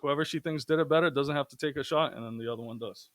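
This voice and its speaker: Playful male podcast host, higher voice. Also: fast, a bit bright, dry recording. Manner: playful, profane. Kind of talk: casual comedic podcast banter.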